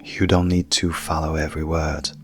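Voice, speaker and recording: A man speaking as a hypnotherapist in a calm, hushed, soothing manner, softly guiding a sleep meditation with long pauses. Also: extremely low pitch. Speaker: coach and hypnotherapist guiding a session.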